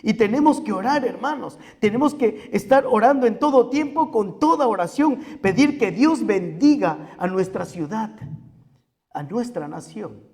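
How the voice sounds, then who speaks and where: A man's voice: high-pitched, expressive, measured pace, a little mellow. A man with a Peruvian Spanish accent preaching as a pastor, repeating phrases for emphasis and putting questions to his congregation. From Peru. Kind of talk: sermon. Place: church hall. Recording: long hall reverb.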